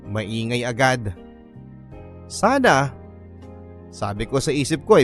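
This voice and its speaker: Male narrator, Filipino accent, middle pitch, scripted story narration, faint background music.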